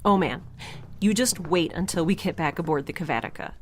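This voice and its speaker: A woman's voice: fairly low pitch, a little fast, slight background noise, close to an everyday voice. A woman playing a chatty, naive young mutineer in a science fiction audio drama, rambling cheerfully and informally. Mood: excited. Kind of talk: audio drama acting, conversational.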